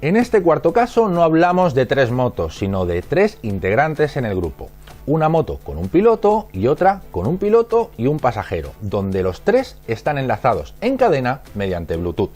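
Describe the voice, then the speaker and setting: A man's voice: mid-range voice, a little mellow. A man with an accent from Spain reading scripted instructional narration in a methodical, didactic manner. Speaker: narrator of a product explainer.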